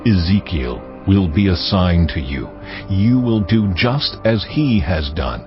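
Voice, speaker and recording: An actor playing a stern, wrathful God, speaking gravely and commandingly in a dramatized audio Bible. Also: male voice, deep voice, expressive, takes it slowly. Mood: solemn, stern. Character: God, stern wrathful sovereign Lord pronouncing judgement. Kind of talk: dramatized audio Bible, solemn scripture oration. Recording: dull, muffled audio with music. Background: cinematic music.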